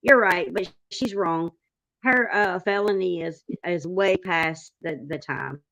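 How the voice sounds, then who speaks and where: A woman's voice: on the low side, slow, a bit bright, no echo, rough recording. A woman chatting casually as a panel host who reads out and answers viewer comments. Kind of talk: casual chat.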